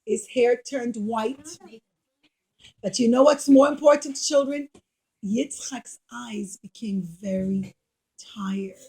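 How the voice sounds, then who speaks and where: A woman's voice: on the high side, slow, dark tone, low-quality recording. A woman storytelling for children at a puppet show, speaking in simple, emphatic phrases and addressing the young listeners directly. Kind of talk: animated storytelling for children, asking questions.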